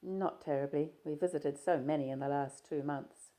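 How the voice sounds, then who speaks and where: A woman voicing a shy, reserved young woman traveller in an audiobook, with soft, hesitant replies. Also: deep voice, fairly even intonation, moderate pace, natural voice.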